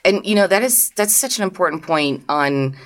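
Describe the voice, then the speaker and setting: A woman's voice: lowish voice, expressive, moderate pace, fairly bright tone. A woman hosting an interview, affirming her guest enthusiastically and leading into questions. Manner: affirming, enthusiastic, frequent "you know". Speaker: interview host.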